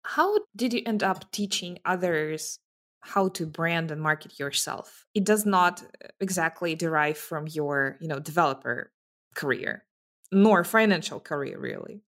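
A woman hosting a podcast interview, upbeat, with questions that trail off softly at the end. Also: sits low, measured pace. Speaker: podcast host.

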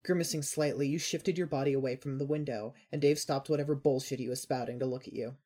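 A man narrating a dramatic second-person story in a solemn, deliberate, emphatic way. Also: medium pitch, steady tone, dark tone.

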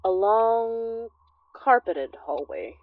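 A woman's voice: fairly high pitch, animated, extremely slow, no room sound. An actress playing a rambling, self-deprecating Filipino immigrant woman who confides hesitantly and chattily in an audio drama monologue. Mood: uneasy. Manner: hesitant, chatty, trailing off and correcting herself. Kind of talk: audio drama monologue as an intimate phone-call confession. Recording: slightly dull, dry close recording.

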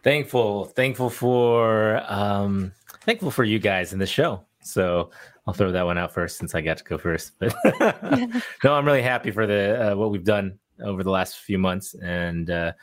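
Low-voiced man telling stories with a laugh. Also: animated, unhurried. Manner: laughs often, tells stories. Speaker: podcast co-host. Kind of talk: casual chat.

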